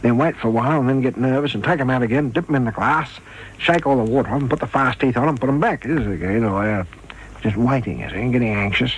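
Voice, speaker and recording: An older man telling an anecdote in an interview, in an animated, colloquial way. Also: medium pitch, fast, breathy edge. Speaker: interviewee, former radio performer. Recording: noisy archival interview recording.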